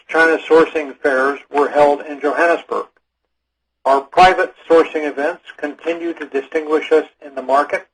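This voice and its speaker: A man with a rough, husky voice pitched a little high reads a prepared business report. His delivery is formal and measured, that of an executive addressing a conference call. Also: fairly bright tone, rough recording. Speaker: company executive. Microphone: phone or conference call line.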